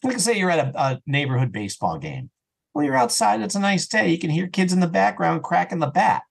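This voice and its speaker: A man with a fairly high voice talks casually as a podcast guest. He is animated and slips into acting out his own thoughts as he explains things. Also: fairly quick, vocal fry, breathy edge. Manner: animated, often acts out his own thoughts as quoted self-talk. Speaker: podcast guest, audio drama creator and voice actor. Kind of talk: casual interview chat.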